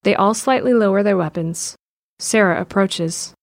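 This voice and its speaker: A woman reading scripted narration for an audio drama in an even, descriptive way. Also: mid-range voice, normal speed, creaky, dry recording.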